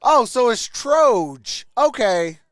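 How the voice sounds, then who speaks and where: A man with a smooth, clear voice hosts a casual sports livestream. He talks at a slow pace in a conversational way, responding to viewer comments. The sound is close and dry. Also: very high-pitched, very animated, no echo. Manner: greets viewers by name, conversational.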